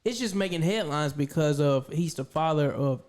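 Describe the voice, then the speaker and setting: A man's voice: fairly high pitch, expressive, normal speed, a little mellow. A man of thirty co-hosting a podcast in casual, slangy conversation. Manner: slangy, colloquial. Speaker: podcast co-host. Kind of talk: casual chat.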